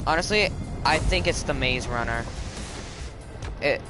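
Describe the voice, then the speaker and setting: A teenage boy commentates a game in a low voice that now and then cracks. He talks in a chatty, rambling way, often stopping to correct himself. Loud game music plays behind him the whole time. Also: animated, a little slow. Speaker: gaming video host. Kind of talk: casual gaming commentary.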